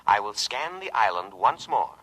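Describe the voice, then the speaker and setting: A man's voice: fairly low pitch, very animated, moderate pace, fairly bright tone, slightly rough, exaggerated voice, rough recording. A man in a 1950s radio drama playing a dutiful, solicitous military lifeboat machine that speaks formally and precisely. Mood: grave, dutiful. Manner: formal, precise, patriotic, unyielding. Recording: vintage radio recording.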